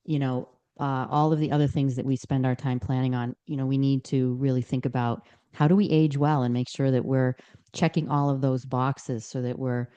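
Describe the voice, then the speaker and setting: Earnest sixty-year-old woman, soft and smooth. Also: very deep voice, level tone, normal speed. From Boston, Massachusetts. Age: 60s. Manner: earnest, with many fillers and restarts. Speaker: guest. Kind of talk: podcast interview.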